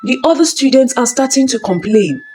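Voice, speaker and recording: A woman's voice: high-pitched, animated, fairly quick, warm tone, faint music behind. Woman voicing a conspiratorial male schoolteacher. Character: leering middle-aged male schoolteachers gossiping about a pupil.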